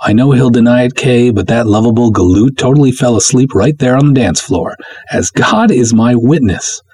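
Man reading as wry, confiding woman, husky. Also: medium pitch, moderate pace, a little mellow, dry recording, close to an everyday voice. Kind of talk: first-person serial story reading.

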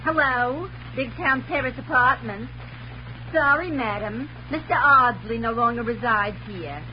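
A woman's voice: fairly high pitch, unhurried, close to an everyday voice. An actress playing a flirtatious, gossipy switchboard operator in a 1940s radio drama, with a sassy, chatty, colloquial manner. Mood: brisk, sassy. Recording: muffled old radio recording, faint hiss.

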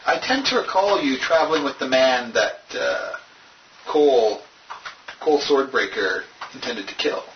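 A man acts out a courteous merchant traveller in a tabletop game. He speaks formally and haltingly, with a businesslike, probing manner. The recording is dull and roomy, with clear noise behind him. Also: mid-range voice, sing-song. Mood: curious, probing. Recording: dull, very noisy, echoey distant room mic.

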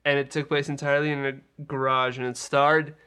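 A man's voice: mid-range voice, flat delivery, fairly quick, pushed and bright, smooth. A man co-hosting a podcast, chatting casually about movies with wry, dry joking asides. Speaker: podcast co-host, film reviewer.

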